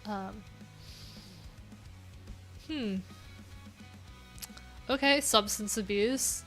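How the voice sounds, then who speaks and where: Woman streamer, mid-pitched, casual and chatty, reading viewer replies with faint music underneath. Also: drawn-out words, fairly bright tone. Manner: chatty, loose asides with frequent fillers. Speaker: livestream host. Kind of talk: casual livestream chat, reading viewer answers aloud. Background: pop punk music playing.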